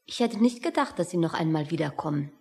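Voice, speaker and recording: An actress playing a prim, cautious colleague who quietly needles, in a German radio play, often half whispering. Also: female voice, deep voice, expressive, fast, breathy edge, heavily acted. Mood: hesitant, worried.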